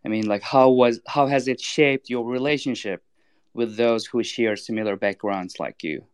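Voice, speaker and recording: A man's voice: mid-range voice, moderate pace, clear, resonant tone. A man politely moderating a panel discussion on a video call.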